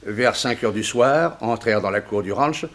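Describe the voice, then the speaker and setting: An actor playing a weary, kindly old judge in a 1960s French radio drama, measured and formal. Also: male voice, fairly low pitch, fairly bright tone. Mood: earnest. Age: older.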